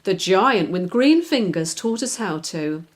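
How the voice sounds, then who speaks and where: A woman's voice: medium pitch, animated, measured pace, natural voice. A woman voicing a proud, friendly talking garden worm in a children's storybook, in a chirpy manner.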